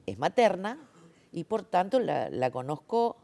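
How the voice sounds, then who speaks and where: A woman's voice: lowish voice, very animated, measured pace, gravelly, warm tone. A woman, interviewed as a sign language interpreter, explaining her views emphatically, with many fillers and rhetorical checks. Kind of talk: conversational interview.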